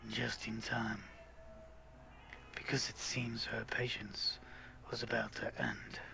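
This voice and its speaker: A man reading a visual novel aloud as a streamer, often slow and hushed, with chatty asides. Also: fairly low pitch, level tone, vocal fry, a little hiss or hum. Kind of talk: casual playthrough read-aloud with chatty asides.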